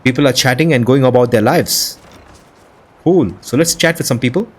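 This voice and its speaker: A man's voice: mid-range voice, normal speed. A man hosting a video, narrating gameplay in a casual, enthusiastic, lightly scripted way. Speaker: video host. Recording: music behind the voice. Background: background music.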